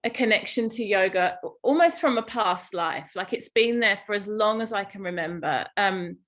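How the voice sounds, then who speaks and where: A woman's voice: medium pitch, lively intonation, moderate pace, fairly bright tone. A middle-aged woman with an English accent, telling personal stories as a guest in a conversational podcast interview. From England. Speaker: guest, yoga teacher and Ayurveda guide.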